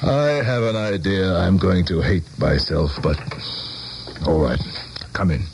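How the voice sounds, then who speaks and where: Deep-voiced, proud middle-aged politician. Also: male voice, vocal fry, darkish tone. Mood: wary, sardonic. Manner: formal, measured, exasperated diction. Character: proud middle-aged male politician, former teacher, wary and sardonic. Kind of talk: radio drama acting. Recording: noisy old radio broadcast recording.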